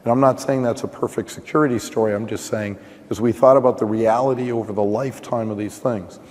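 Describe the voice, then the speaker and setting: A man with a hoarse voice explains a technical topic at a conference session. He works through his thoughts out loud, with restarts and fillers. His medium-pitched voice carries a long echo from a large hall. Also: fairly even intonation, a little fast. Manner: thinking aloud, with frequent restarts and "you know". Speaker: conference panelist.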